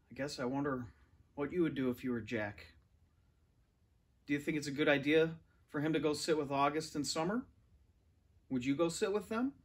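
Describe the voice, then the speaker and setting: A man around forty reading a children's novel aloud indoors, recorded on a camera a little way off. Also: a little high. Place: large furnished living room, indoors. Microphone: camera or phone a few metres away.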